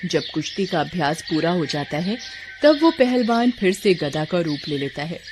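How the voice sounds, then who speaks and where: Casting: story narrator, mid-pitched, scripted delivery, clear noise behind. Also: big pitch swings, normal speed.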